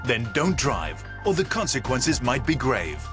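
A man's voice: mid-range voice, big pitch swings, measured pace. A man reading a scripted public service announcement. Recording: music bed behind the voice.

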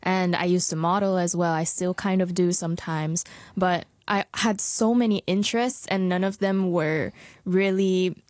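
A woman's voice: lowish voice, fairly even intonation, moderate pace, a little mellow, dry recording. A woman who grew up in Hong Kong, a singer chatting as a guest with many likes, ums and laughs. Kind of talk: casual interview chat.

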